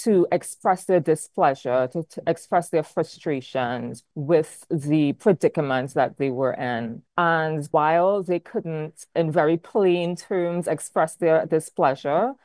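Woman, low voice, professor explaining history, dry close sound. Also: slow pace, few pauses, darkish tone, no echo. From Trinidad and Tobago. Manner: thoughtful, explanatory, with fillers like "kind of, like". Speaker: art history professor, guest. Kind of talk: academic interview answers.

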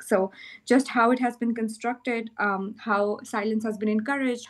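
A young woman from Pakistan answers interview questions with a slightly high voice. She speaks thoughtfully, pausing and restarting as she works through her ideas. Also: darkish tone. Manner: thoughtful, hesitant, many fillers and restarts. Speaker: graduate student researcher.

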